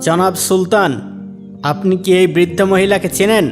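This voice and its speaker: A man playing a stern, fair Islamic court judge in an animated moral drama, with formal, declamatory delivery. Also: sits high, moderate pace, close to an everyday voice. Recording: faint music behind the voice.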